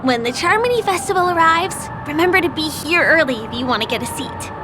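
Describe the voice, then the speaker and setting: A woman's voice: high voice, expressive, brisk, noisy background. A woman voicing a cheerful, eager young tour guide in a video game, chatty and friendly as she shows someone around. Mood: inviting, friendly. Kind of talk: video game character voice acting. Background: game music.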